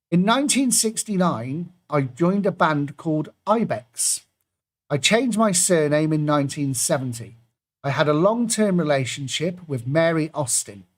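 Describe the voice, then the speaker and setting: A man hosting an online pub quiz, reading out clues and adding jokey asides between them. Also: on the high side.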